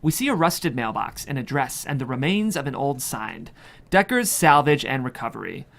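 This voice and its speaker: A man's voice: mid-range voice, big pitch swings, moderate pace, gravelly, fairly bright tone. A man reading a screenplay's stage directions as scripted narration. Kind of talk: scripted narration at a table read.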